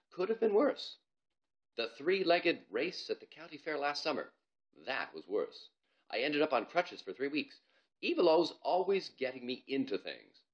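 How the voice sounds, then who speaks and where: A man's voice: medium pitch, lo-fi sound. A man, an author, reading his children's story aloud in a theatrical, comic storytelling manner. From Canada.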